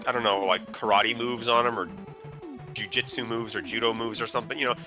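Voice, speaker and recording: Loud-talking man musing on a call. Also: medium pitch, fairly even intonation, brisk, music in the background. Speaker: guest on a stream call. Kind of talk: casual chat.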